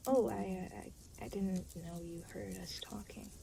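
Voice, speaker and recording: A woman's voice: mid-range voice, steady tone, a little slow, vocal fry, mellow, slight background noise. A woman playing an aloof, exasperated demon in an audio roleplay, talking to the listener in a dry, sarcastic, weary way. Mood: wry, defensive. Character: aloof, exasperated demon, reluctantly babysitting a new soul.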